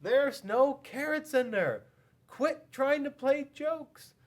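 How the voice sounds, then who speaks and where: A man's voice: extremely high pitch, lively intonation, slow pace, voice pushed up, full character voice. A man voicing a tired father who dismisses his son's fears. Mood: skeptical. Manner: weary, dismissive.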